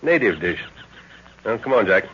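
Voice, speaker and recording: An actor playing a shrewd, genial explorer and father in a 1930s radio drama, speaking with measured authority. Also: lowish voice, sing-song, fairly bright tone, a little hiss or hum. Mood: genial, explanatory. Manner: measured, hesitant pauses, authoritative. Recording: muffled old 1930s radio recording.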